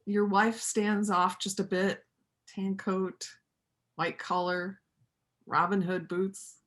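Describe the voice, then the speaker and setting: A woman playing an earnest, determined helper of a fugitive in a reader's theater play, speaking in an insistent, deliberate way. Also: mid-range voice, flat delivery, fairly bright tone. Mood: tender. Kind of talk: reader's theater stage acting.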